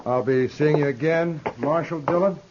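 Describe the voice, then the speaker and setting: A man's voice: on the high side, normal speed, noisy background, lightly acted. An American man playing a cold, insolent Texas gunman, mock-polite and needling, in 1950s radio western style. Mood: smoothly menacing. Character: cold, smooth-talking, insolent Texas gunman, charming menace. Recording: dull, muffled 1950s radio recording.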